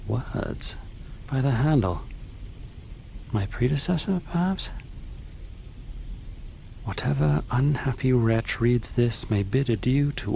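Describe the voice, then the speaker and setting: An actor playing a bewildered, frightened captive worker, muttering haltingly to himself in an audio drama monologue. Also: male voice, medium pitch, gravelly, darkish tone, a little hiss or hum. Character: frightened, bewildered captive pie-shop worker puzzling aloud.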